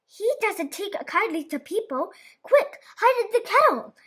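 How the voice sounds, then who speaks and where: The giant's kind but flustered wife in a storybook, exclaiming in a very high, squeaky voice, as a woman reader puts her on. She sounds bright and lively, with pitch bouncing all over. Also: a little slow, heavily acted. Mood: alarmed. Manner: excited, exclaiming.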